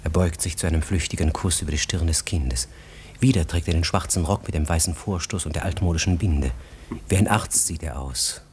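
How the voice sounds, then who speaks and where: A man playing a narrator for a period radio drama, with a low, deep voice. He tells the story in a measured, literary way and watches every detail, over clearly audible background noise. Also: darkish tone, close to an everyday voice. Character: sober male narrator of a literary period tale.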